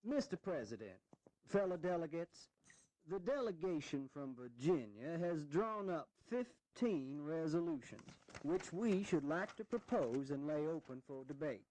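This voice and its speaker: An actor playing a formal, earnest colonial governor in a historical audio drama, addressing delegates in declamatory oratory. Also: male voice, a little high, very animated, unhurried, a little mellow, rough recording.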